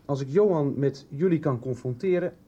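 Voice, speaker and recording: An actor playing a composed, businesslike police detective in a radio soap, speaking with measured authority. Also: male voice, on the high side, warm tone, slightly rough, muffled recording. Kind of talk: 1990s radio soap acting.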